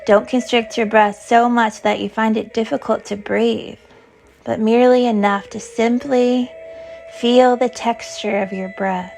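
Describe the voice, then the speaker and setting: A woman leading a guided yoga nidra meditation as a teacher, with a soothing, gentle, unhurried manner of instruction. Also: fairly high pitch, fairly even intonation, creaky, soft background music, poor audio.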